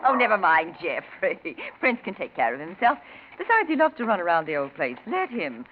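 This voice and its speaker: A woman's voice: lowish voice, big pitch swings, normal speed. An actress playing a breezy, easygoing society woman with an airy, chatty manner in a 1940s radio drama. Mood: breezy, carefree. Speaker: actor. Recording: tinny, noisy 1940s radio recording.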